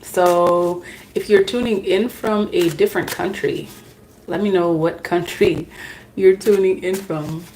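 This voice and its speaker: Slow-talking chatty woman, non-native speaker. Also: on the low side, steady tone, darkish tone, a little hiss or hum, poor audio. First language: not English. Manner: chatty, laughs at herself, greets viewers by name. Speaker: tarot reader hosting a live stream. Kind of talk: casual live-stream chat.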